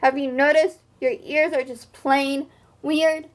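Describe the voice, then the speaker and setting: Storybook elephant bully played by a woman: male, brash and smirking, emphatic taunts, high-pitched, unhurried. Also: fairly even intonation. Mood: taunting. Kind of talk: children's storybook read-aloud.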